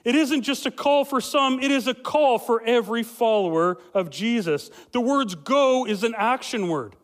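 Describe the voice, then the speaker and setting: High-voiced pastor preaching in a reverberant hall. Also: male voice, moderate pace. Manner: loud, lively preaching with many fillers and restarts. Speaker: family pastor. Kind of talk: sermon. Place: church sanctuary.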